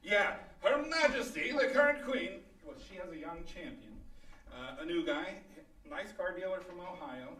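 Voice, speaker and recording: A man's voice: high-pitched, animated, moderate pace, bright, forceful tone. A man playing a boastful weekend knight and hobbyist in a comedic radio play. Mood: cocky. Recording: echoey stage, distant mic.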